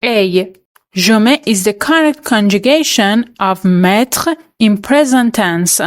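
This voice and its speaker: A woman reads out quiz questions and answer options at a very slow, unhurried pace. Her voice sits at an ordinary middle pitch. She delivers each choice and explanation in a steady, scripted way, as a teacher quizzing learners. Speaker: French teacher.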